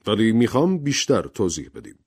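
A man performs a shrewd judge in an audio story. He is composed and authoritative, putting his questions in a measured way. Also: lowish voice, wildly swooping pitch, darkish tone, slightly rough, no echo, studio quality, voice pushed up, heavily acted. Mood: firm.

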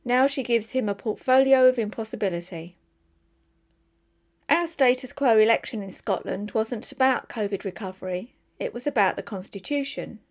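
A woman volunteer reader reading a newspaper opinion column aloud for listeners. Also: medium pitch.

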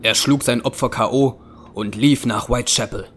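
A man's voice: medium pitch, steady tone, moderate pace, fairly bright tone, breathy edge. A man giving dramatic scripted narration as the storyteller of an audio drama. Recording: music bed behind narration.

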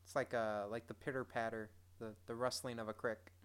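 A man's voice: mid-range voice, flat delivery, a little fast, a bit bright, clear, resonant tone. A man, co-hosting a podcast in casual chat, dry and laughing often. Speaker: podcast co-host.

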